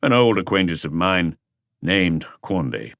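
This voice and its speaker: A man voicing a world-weary veteran police inspector in an audiobook, terse, measured and reflective. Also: deep voice, sing-song, gravelly, fairly bright tone, dry recording, clean studio sound, heavily acted. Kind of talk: audiobook character dialogue.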